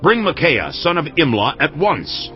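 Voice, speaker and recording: A man's voice: a little high, very animated, measured pace. Proud, imperious king, swooping delivery. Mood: angry, commanding. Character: proud, resentful king of Israel, commanding and impatient. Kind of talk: dramatized audio Bible acting. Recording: dull lo-fi audio over music.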